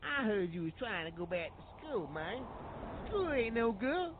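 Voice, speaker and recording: A man's voice: sits high, very animated, unhurried, background noise. A man playing a resentful, streetwise young junkie complaining to a friend in a parody TV promo. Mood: whiny, resentful. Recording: tinny lo-fi over music.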